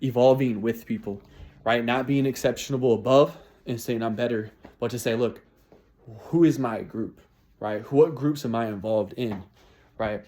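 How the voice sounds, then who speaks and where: A man's voice: mid-range voice, normal speed, dark tone. Twenty-something guy pumping himself up about his goals, keeps repeating himself. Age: 20s. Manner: repetitive, motivational, restarts phrases. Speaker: vlogger. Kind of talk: casual New Year's resolutions monologue.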